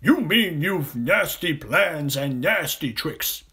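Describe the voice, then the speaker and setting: A man voicing a big, indignant male elephant in a children's storybook, loudly blustering and scolding. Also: on the high side, expressive, slow, pitched above the performer's own voice, heavily acted. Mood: annoyed, scolding. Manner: loud, blustering, exclaiming.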